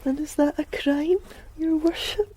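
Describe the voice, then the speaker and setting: A woman's voice: high-pitched, fairly even intonation, a little slow, slight background noise. An actress playing a teasing young woman student from Greenock, with a West of Scotland accent. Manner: teasing, soft and hushed.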